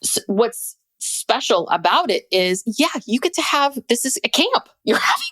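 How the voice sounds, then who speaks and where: A woman's voice: on the high side, very animated, moderate pace, bright, forceful tone, dry recording. A woman co-hosting a podcast, chatting casually and animatedly, laughing often and restarting her sentences. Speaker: podcast co-host.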